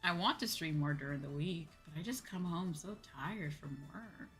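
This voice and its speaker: A woman hosts a casual chat stream in a fairly low but clearly bright voice. She talks candidly and informally, repeating points for emphasis. Music plays behind her. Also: moderate pace. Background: background music.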